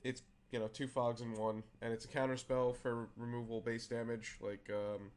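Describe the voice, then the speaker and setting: Raspy unwell man hosting a deck walkthrough. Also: mid-range voice, level tone, a little mellow. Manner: halting, many fillers and restarts. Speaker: host. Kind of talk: casual card game deck walkthrough.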